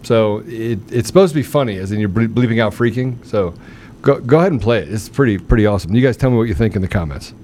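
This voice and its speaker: A man's voice: on the low side, fast talker, darkish tone, a little hiss or hum. A man hosting a podcast with casual, teasing banter and frequent chuckling. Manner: teasing, jokey, often chuckling. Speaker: podcast host.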